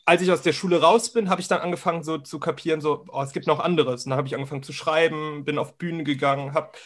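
A man in his twenties, a dance teacher, chatting casually in a rambling, relaxed way with many fillers. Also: on the high side, fast talker. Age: 20s. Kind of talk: casual conversation.

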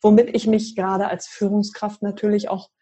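A middle-aged woman from Berlin with a mellow, husky voice talks in a measured, articulate way. She answers questions as an interview guest, explaining her work as a leadership coach with calm, steady confidence. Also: mid-range voice. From Berlin, Germany. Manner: measured, articulate, occasional fillers. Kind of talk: conversational interview.

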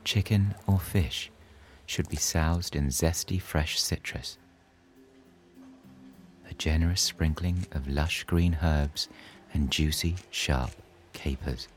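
Very deep, slow male bedtime narrator. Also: steady tone, soft background music. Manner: soothing, measured. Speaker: story narrator. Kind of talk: scripted bedtime story narration.

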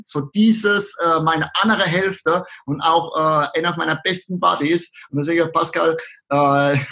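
A man with a broad Palatinate German accent talks as a podcast guest. His voice is husky and sits a little high for a man. He chats loosely, padding his sentences with fillers. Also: fairly bright tone. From southern Palatinate, Germany. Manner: hesitant, frequent fillers. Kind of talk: casual interview chat.

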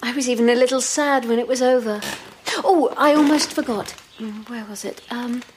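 An actress playing a curious, self-assured young daughter in an audio drama, light, breezy and teasing. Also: female voice, fairly high pitch, fairly even intonation, moderate pace, a little hiss or hum, natural voice. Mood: cheerful. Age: young adult.

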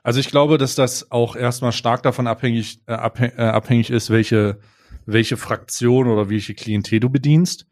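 Man, casual podcast co-host, relaxed and halting, lots of fillers, mid-pitched voice. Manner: laid-back, halting with fillers and restarts. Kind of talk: casual chat.

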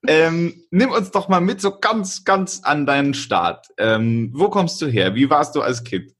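A man's voice: on the high side, lively intonation, measured pace, fairly bright tone, breathy edge. A man hosting a podcast, giving an upbeat, chatty introduction and asking short questions. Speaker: podcast host. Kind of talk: podcast interview.

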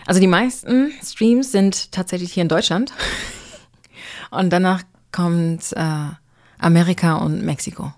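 A young woman from Germany speaks as an interview guest, in a low, easygoing voice. She talks about her music at ease. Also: steady tone, a little slow, a little mellow. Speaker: singer-songwriter guest. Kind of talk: casual interview answers.

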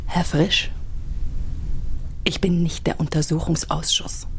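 An actress playing an indignant, sharp-tongued senior prosecutor in a German political radio drama, biting and accusatory. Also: female voice, low-pitched, little pitch movement, slow pace, creaky, slight background noise, full character voice. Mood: furious, contemptuous.

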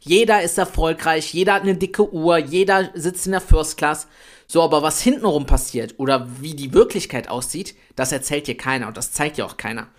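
A man in his late twenties co-hosting a podcast, talking in a chatty, energetic way about building an online business. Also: fairly high pitch, normal speed. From Italy. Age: 20s. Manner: energetic, chatty, swears lightly. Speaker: podcast co-host. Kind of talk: casual podcast chat.

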